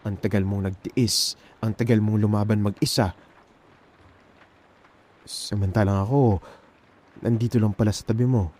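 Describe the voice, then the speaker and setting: Young Filipino male for intimate ASMR roleplay: emotional best friend, halting casual delivery, deep-leaning and very soft, dark timbre. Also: steady tone. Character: emotional young man confessing love to his best friend. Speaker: ASMR audio roleplay performer.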